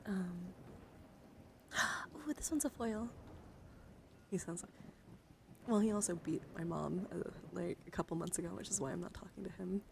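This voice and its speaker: Soft, breathy young woman doing ASMR, noisy recording. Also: lowish voice, moderate pace. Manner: soft and breathy, often slipping into whispers. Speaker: livestream host. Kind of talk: ASMR, soft-spoken casual live chat and card reading.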